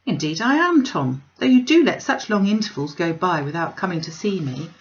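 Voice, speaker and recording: Storybook role: dutiful young woman, read by a female narrator, quiet, restrained, politely reserved, slightly dull recording. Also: fairly low pitch, very animated, normal speed. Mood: fond, subdued.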